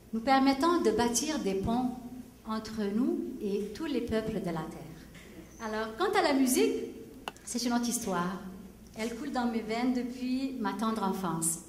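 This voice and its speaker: A woman's voice: fairly high pitch, a little hiss or hum. A woman from Egypt whose first language is Arabic, a singer-songwriter hosting a benefit concert and reciting a poem on stage. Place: large concert hall. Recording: echoey hall from far off with music.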